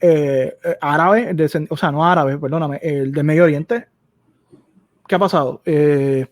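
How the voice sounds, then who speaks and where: Earnest Puerto Rican man, slightly high, dry sound. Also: measured pace, no echo. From Puerto Rico. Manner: earnest, repeats phrases for emphasis. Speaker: podcast co-host. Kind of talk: casual opinionated discussion.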